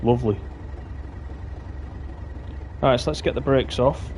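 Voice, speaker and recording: A man with a Lancashire accent talks his way through a live-streamed game in a relaxed, rambling manner, trailing off and correcting himself as he goes. His voice sits at a middling pitch. A clear rumble of train engine noise runs steadily behind him. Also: a little slow. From Lancashire, England. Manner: chatty, rambling, self-correcting asides. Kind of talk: casual running commentary while playing. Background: simulated train engine and rail sounds.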